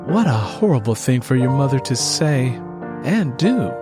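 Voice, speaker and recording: A male narrator takes the part of a kind schoolteacher woman, consoling and encouraging. The lines rise and fall expressively as audio drama dialogue, with music playing clearly underneath. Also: medium pitch, big pitch swings, moderate pace, close to an everyday voice. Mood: sympathetic.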